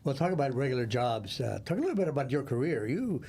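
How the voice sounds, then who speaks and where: A male host with a dark, mellow tone asks encouraging, leading questions to steer the conversation. A faint hiss of noise sits underneath the recording. His pitch stays in an ordinary middle range. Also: very animated, fast. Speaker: interviewer, host. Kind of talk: conversational interview.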